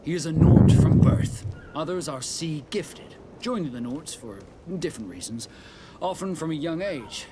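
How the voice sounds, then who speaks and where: A man playing a proud, seasoned sea captain in scripted video game dialogue, speaking in a measured, formal and deliberate way. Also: on the high side, expressive, very noisy, close to an everyday voice.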